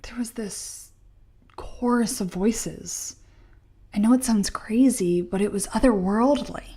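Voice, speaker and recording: A woman's voice: a little high, takes it slowly, mellow, husky. A woman in an audio play acting an earnest, sceptical young mycology student who speaks precisely and emphatically, sounding unsettled.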